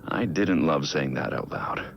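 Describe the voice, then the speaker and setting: An actor playing a brooding billionaire vigilante, recording a measured, reflective personal audio log in a game. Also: male voice, muffled recording, slight background noise, heavily acted. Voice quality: low gravelly voice. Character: brooding billionaire vigilante, man in his prime.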